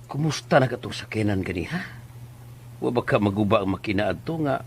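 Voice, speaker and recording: An actor with a Cebuano Filipino accent playing a down-to-earth older father in a radio drama, grumbling fondly and gently scolding. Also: on the low side, expressive, brisk, slight background noise. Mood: curious, bantering.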